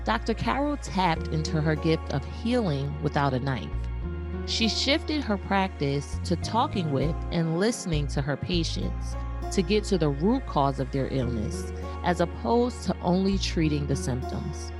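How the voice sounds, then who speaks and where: A woman's voice: low voice, big pitch swings, measured pace, over music. A woman hosting a podcast, giving scripted introductions and welcoming interview questions. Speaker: podcast host.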